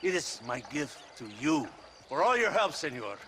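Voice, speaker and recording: An actor playing a smug, lecherous Mexican army officer in a video game, flamboyant and mock-courteous with a sneering drawl and Mexican accent. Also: male voice, on the high side, very animated, normal speed, bright, forceful tone, a little hiss or hum. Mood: smug, expansive. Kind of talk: theatrical video game acting.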